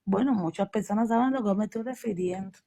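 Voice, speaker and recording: Gravelly-voiced Cuban woman telling her story. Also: medium pitch, fast talker, mellow. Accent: Cuban Spanish. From Cuba. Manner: personal storytelling with hesitant fillers and repetitions. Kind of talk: casual conversation about spirituality.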